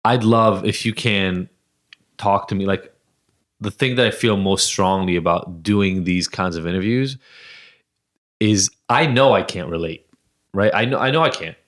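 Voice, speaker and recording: Brief: male host, forties, from Israel, deep voice, reflective, feels his way into questions. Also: measured pace, fairly bright tone. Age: 40s. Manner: thoughtful, searching questions with self-interruptions. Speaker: podcast host. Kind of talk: casual interview.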